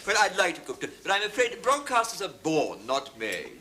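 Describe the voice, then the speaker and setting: Pompous, high, swooping man. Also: wildly swooping pitch, a little fast, bright, forceful tone, slight background noise, heavily acted. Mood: smug. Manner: pompous, precise. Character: pompous, self-satisfied amateur hospital broadcaster, easily flustered.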